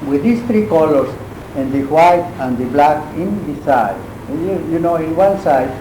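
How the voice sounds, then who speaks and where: Slow, halting man with a Mexican accent. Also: a little high, animated. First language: Spanish. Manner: halting, searching for English words with many pauses. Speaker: guest painter lecturing. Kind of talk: art lecture. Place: university lecture room. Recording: echoey classroom with noise.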